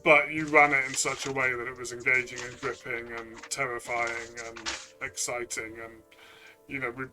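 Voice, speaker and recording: A man talking conversationally as a co-reviewer, giving a player's view in a review discussion. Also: medium pitch.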